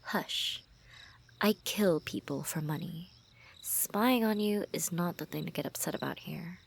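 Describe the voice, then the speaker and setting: A woman playing a lovestruck assassin in an intimate ASMR roleplay, teasing and menacing, speaking softly and often dropping into whispers. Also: on the low side, unhurried, vocal fry, slightly rough, exaggerated voice. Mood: tender, infatuated.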